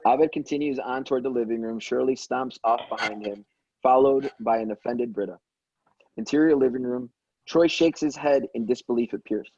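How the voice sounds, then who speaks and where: A man's voice: medium pitch, normal speed, darkish tone, breathy edge, dry recording. A man reading screenplay stage directions aloud as scripted narration, on dull lo-fi audio.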